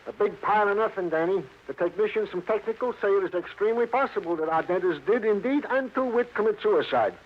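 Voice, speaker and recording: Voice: mid-range voice, expressive, fast, hardly any pauses, close to an everyday voice. Man doing fussy new police sergeant, pompous wordy comic relief, old radio, muffled. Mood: fussy, chatty. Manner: pompous, flowery wording. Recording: dull, faintly noisy vintage radio recording.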